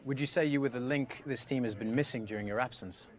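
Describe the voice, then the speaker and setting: A man's voice: medium pitch, fast talker, natural voice. A man playing a polite football reporter conducting a post-match interview in a video game. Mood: polite, admiring. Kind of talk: sports television interview in a game.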